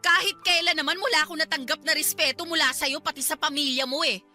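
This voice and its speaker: A woman's voice: sits high, steady tone, quick pace, pushed and bright, faint music behind. A woman playing a hurt, jealous wife in a Tagalog radio drama, nagging and pleading with emotion. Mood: hurt, angry. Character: hurt, jealous young wife confronting her husband.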